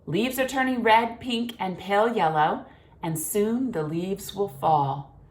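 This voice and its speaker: A woman's voice: mid-range voice, takes it slowly, natural voice. A woman reading aloud as a long-winded, grandiose father swan in a children's story, speaking with theatrical grandeur. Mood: grandiloquent.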